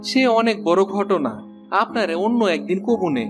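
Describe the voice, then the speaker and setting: A man's voice: high-pitched, animated, moderate pace, music in the background. A man with a rural Bangladeshi accent playing a humble, forgiving poor village husband in a cartoon, plain-spoken and deferential. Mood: earnest. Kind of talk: cartoon dialogue acting.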